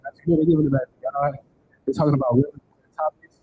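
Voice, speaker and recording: A man's voice: a little high, fairly quick, phone-quality sound, a little hiss or hum. A man with a Southern American accent emceeing a live church event, hyping the crowd and promoting the performer. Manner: lively, encouraging announcer.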